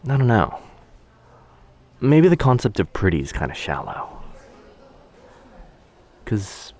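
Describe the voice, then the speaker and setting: A man playing a shy, sweetly awkward young stranger in a boyfriend ASMR roleplay, speaking softly and hesitantly in an intimate, rambling way. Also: fairly low pitch, wildly swooping pitch, slow, exaggerated voice. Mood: nervous, musing. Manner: hesitant, rambling, soft-spoken with trailing phrases. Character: very shy young male stranger, sweetly awkward, overthinking coffee-shop crush.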